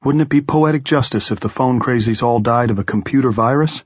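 Male reader as a wry, measured man, husky, dry read. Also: mid-range voice, no room sound, highs cut off, natural voice. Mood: calm.

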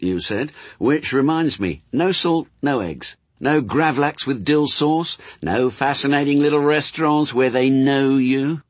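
A man's voice: fairly high pitch, no room sound, voice pushed up. A man voicing a sardonic, worldly, persuasive demon with a punchy, insistent, colloquial manner in an audiobook story.

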